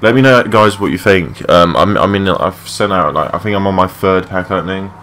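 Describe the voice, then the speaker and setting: A young man with a low voice giving rambling, matey, sweary gameplay commentary in a casual unscripted way. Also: brisk, fairly bright tone. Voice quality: low-pitched voice. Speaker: gaming video creator. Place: his room at home. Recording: clearly noisy home mic recording.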